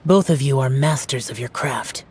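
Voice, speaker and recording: An actor playing an ancient immortal in gentlemanly form, formal, measured and composed, in a video game. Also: male voice, mid-range voice, very noisy, close to an everyday voice. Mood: composed, courteous. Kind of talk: video game cutscene acting.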